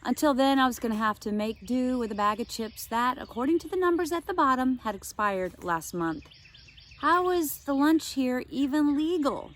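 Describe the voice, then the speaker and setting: A woman's voice: sits high, expressive, moderate pace, a bit bright. A woman teacher expressively reading a children's novel aloud, adding casual asides for her listeners.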